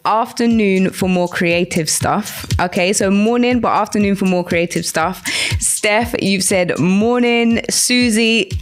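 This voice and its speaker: A woman hosting a live radio talk show, encouraging and explanatory, speaking directly to her listeners. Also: medium pitch. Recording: music playing behind the voice. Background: background music.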